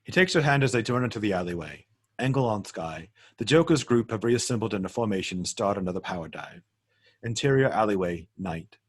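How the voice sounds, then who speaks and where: A man with a husky, fairly low voice narrates a script read-through. He reads stage directions matter-of-factly and sometimes trips on a word. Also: expressive, a little fast.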